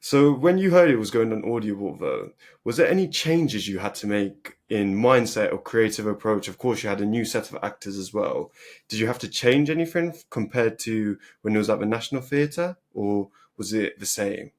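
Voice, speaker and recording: A man hosts a podcast interview and puts a measured follow-up question to his guest. His voice sits a little low. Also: lively intonation. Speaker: podcast host.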